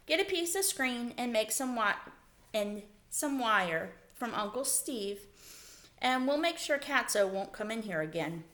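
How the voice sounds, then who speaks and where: Storybook aunt figure, voiced by a woman reader, brisk, organizing, higher animated voice. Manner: brisk, directing. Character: brisk adult woman in charge at camp.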